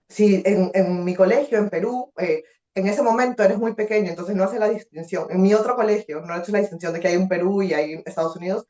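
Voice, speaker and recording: A young Peruvian woman with a Peruvian Spanish accent, a guest telling her story in a casual interview, expressive and full of fillers and restarts. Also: mid-range voice. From Peru. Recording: roomy, slightly dull sound.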